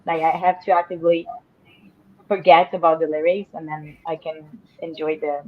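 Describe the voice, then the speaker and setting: Low-voiced woman, muffled online audio. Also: level tone, normal speed. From Italy. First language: Italian. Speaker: guest in a language conversation panel. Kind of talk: casual group chat. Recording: dull, band-limited online call audio.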